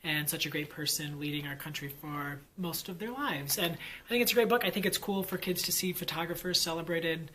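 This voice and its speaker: Enthusiastic teacher-vlogger, male, crisp bright tone, rambling chatty delivery. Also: on the high side, fairly quick, few pauses, a little hiss or hum, poor audio. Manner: enthusiastic, rambling with many fillers. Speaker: fifth grade teacher. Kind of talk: casual vlog chat.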